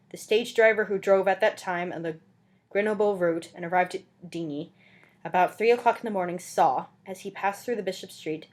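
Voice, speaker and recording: Woman giving a dramatic literary reading. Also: on the low side. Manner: earnest, theatrical, emphatic. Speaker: amateur audiobook reader.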